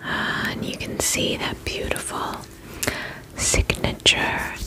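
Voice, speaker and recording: Female ASMR whisperer, spa-attendant roleplay, extremely unhurried delivery, soothing and repetitive. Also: poor audio. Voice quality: breathy, unvoiced whisper. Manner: soothing, repeats short reassuring phrases.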